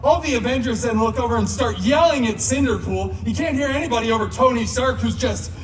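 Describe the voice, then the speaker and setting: A man's voice: very high-pitched, a little fast, a little hiss or hum. A man playing a flamboyant, sarcastic antihero, telling a mock fairy tale live on stage with theatrical, chatty asides. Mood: playful narrating. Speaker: costumed theme park storyteller. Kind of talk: live comedic storytelling. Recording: echoey live show, distant, loud music. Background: loud music.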